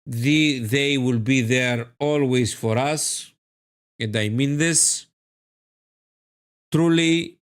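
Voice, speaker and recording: A man with a Greek accent hosting a live trading stream, reading viewer comments and answering them warmly, often repeating his phrases. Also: mid-range voice. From Greece. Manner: effusive, repeats phrases, calls viewers "my beloved friend".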